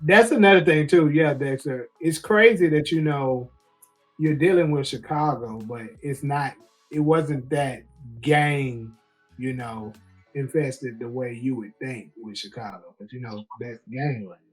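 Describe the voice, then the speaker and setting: A man co-hosting a casual TV show discussion, relaxed and quick to laugh, reading out viewer comments. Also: mid-range voice. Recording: faint music behind.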